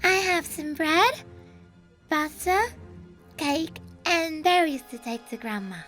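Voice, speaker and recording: Innocent little storybook girl: sweet, polite, very high, lilting widely. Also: lively intonation, takes it slowly, fairly bright tone, soft background music, heavily acted. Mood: polite, cheerful. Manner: polite, wide-eyed. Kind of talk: children's audio fairy tale.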